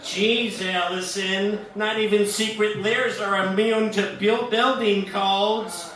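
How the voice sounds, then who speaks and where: An earnest young sidekick, voiced by a man from a radio detective serial, very high and slow, naive and melodramatic. The voice echoes heavily, as if from across a room. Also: steady tone, fairly bright tone. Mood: earnest, wide-eyed. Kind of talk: old-time radio serial parody acting. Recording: echoey, distant, with music behind.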